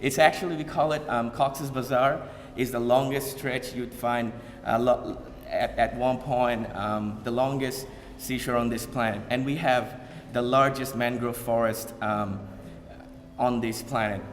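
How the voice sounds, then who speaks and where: A man from Bangladesh giving an informal talk to an audience, pausing often with fillers and repeated starts. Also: medium pitch, steady tone, a little hiss or hum. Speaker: community speaker. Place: event hall. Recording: long hall reverb.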